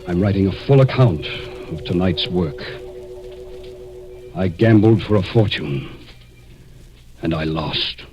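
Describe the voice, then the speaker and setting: A man's voice: low-pitched, animated, slow pace, pauses often. An actor playing a desperate, cornered murderer narrating his confession in a tense, dramatic 1940s radio thriller style. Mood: grim resignation. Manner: tense, dramatic first-person storytelling. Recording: dull 1940s radio recording, music behind.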